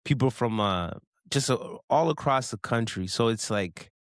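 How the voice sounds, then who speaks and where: A man from Canada co-hosting a podcast, talking in animated casual chat and often repeating words as he builds a point. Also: mid-range voice, normal speed, dry recording, studio quality. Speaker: podcast co-host.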